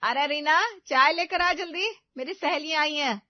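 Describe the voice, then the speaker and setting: A woman's voice: high-pitched, normal speed, dry recording, lo-fi sound, voice pushed up, natural voice. A woman voicing a harsh, nagging older mother-in-law in an audio story, bossy and contemptuous as she scolds. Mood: bossy, impatient. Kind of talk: Hindi audio story character acting.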